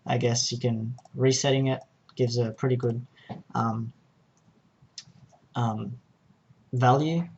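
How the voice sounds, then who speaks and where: A man narrating an informal software tutorial, explaining each step in a hesitant way. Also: mid-range voice, fairly even intonation, slow pace, long pauses, muffled recording, a little hiss or hum. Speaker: tutorial presenter.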